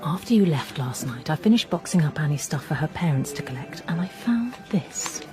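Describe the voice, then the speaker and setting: An actress playing a shrewd, insistent amateur sleuth in a radio drama, scornful and pressing in manner. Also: female voice, low voice, lively intonation, fairly quick, over music. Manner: scornful, pressing, hushed at times.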